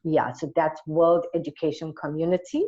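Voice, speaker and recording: Low, mellow South African woman. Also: steady tone, moderate pace. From Durban, South Africa. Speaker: interview guest, entrepreneur. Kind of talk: conversational interview answers. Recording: dull, lo-fi audio.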